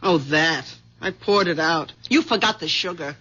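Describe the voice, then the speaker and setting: A bright-voiced, bossy American wife. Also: female voice, sits low, expressive, normal speed, slightly rough, full character voice. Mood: restless, complaining. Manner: scolding, commanding. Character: bossy, nagging middle-aged wife, brisk and impatient. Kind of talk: 1970s radio mystery drama acting. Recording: dull vintage radio broadcast.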